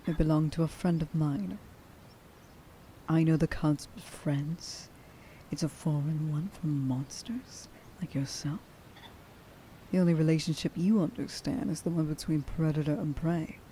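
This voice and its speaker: A woman playing a stern, vengeful werewolf huntress in an ASMR roleplay, speaking to the listener with deliberate contempt and menace, often slipping into a breathy whisper. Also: low voice, warm tone, a little hiss or hum, rough recording. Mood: bitter grief. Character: stern, vengeful female werewolf huntress, grieving a lost friend.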